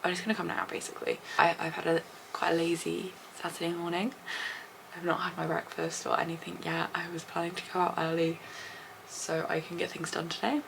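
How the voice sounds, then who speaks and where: A young English woman vlogging casually to a handheld camera, chatty and rambling, her voice a little husky and deep, sometimes dropping to a soft whisper. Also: completely flat delivery, brisk, noisy background. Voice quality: slightly deep, husky morning voice. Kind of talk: casual vlog chat to camera. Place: at home and outdoors after a run. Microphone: small handheld camera.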